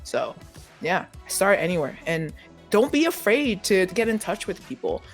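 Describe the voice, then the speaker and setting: A young-adult multimedia journalist answering panel questions quickly and animatedly, full of "like" and "you know", over a video call. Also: mid-range voice, soft background music. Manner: fast, animated, many fillers like "like" and "you know".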